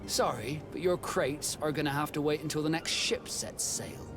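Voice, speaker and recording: An actor playing a proud, composed young sea captain in a fantasy game, speaking in a measured, formal way. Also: male voice, a little high, lively intonation, close to an everyday voice. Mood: formal, businesslike. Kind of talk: scripted fantasy game dialogue. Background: music.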